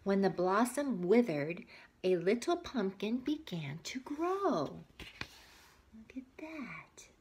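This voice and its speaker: Lady teacher doing storytime for little kids, slow and super animated, kinda breathy, asks them stuff. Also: mid-range voice, slight background noise. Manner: expressive, asks the listeners questions. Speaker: teacher reading picture books aloud to young children. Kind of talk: read-aloud storytelling with asides to young listeners.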